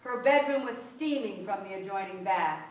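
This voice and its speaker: An actress playing a storyteller of family memories in theatrical literary narration. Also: female voice, mid-range voice, animated, moderate pace, lightly acted. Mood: descriptive. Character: storyteller describing remembered family scenes. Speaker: stage actor in a staged reading. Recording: echoey theatre, distant mic.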